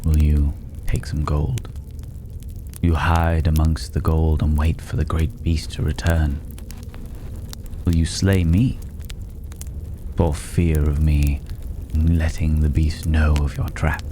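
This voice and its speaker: A man playing a mysterious, worldly stranger in a dragon's hoard in an ASMR fantasy roleplay, mocking and condescending, with a languid, teasing delivery and drawn-out pauses. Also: a bass voice, level tone, dark tone. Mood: taunting. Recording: clearly noisy ambient background.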